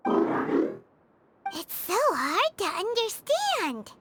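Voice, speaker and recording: A woman voicing a tiny, childlike female fairy companion in a video game, squeaky, excitable and boastful. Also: extremely slow, heavy background noise, full character voice. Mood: puzzled. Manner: refers to self in third person, eager. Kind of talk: video game character dialogue. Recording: loud background music.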